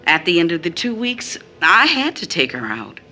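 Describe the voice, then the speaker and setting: An actress playing a bitter, wounded mother in an audio drama, phrasing deliberately as she recounts her child's mistreatment. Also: fairly low pitch, very animated, bright, forceful tone, slight background noise. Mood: indignant, anguished. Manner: deliberate phrasing, quotes others in mimicked tones.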